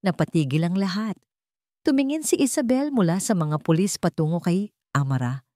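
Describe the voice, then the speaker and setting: A woman's voice: on the low side, lively intonation, moderate pace, warm tone, no room sound, clean studio sound. A woman reading a scripted romance drama as a storyteller, with dramatic narration.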